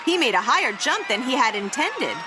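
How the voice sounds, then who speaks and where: A woman reading a children's picture book aloud, with expressive, playful and dramatic emphasis on the words. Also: high voice, brisk, over a noisy crowd, heavy background noise. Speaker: read-aloud storyteller.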